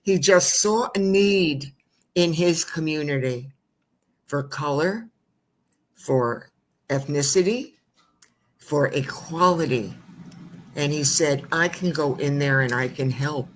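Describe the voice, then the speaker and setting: A woman's voice: sits low, slow, long pauses, a little hiss or hum, low-quality recording. A woman hosting a talk show, introducing her guest at length with effusive praise and some hesitant fillers. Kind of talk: interview introduction.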